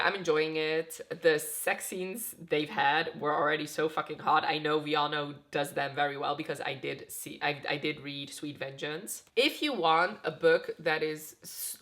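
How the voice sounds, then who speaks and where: A woman's voice: low-pitched, fairly bright tone. A young woman chatting casually about a book she is reading, rambling with lots of "like", her voice a bit off because she is getting sick and tired. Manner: rambling, filler-heavy, frequent "like". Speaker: book vlogger.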